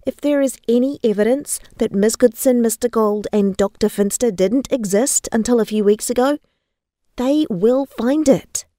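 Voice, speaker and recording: A woman's voice: a little high, moderate pace, mellow, no echo, studio quality, lightly acted. A woman playing a reluctant, guilt-ridden young informant, subdued and hesitant, in an audio drama. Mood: subdued, reporting.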